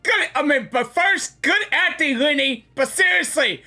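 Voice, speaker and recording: A gamer giving an excited, casual live reaction, exclaiming and repeating phrases. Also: sits high, measured pace, soft background music. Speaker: gaming reaction video maker.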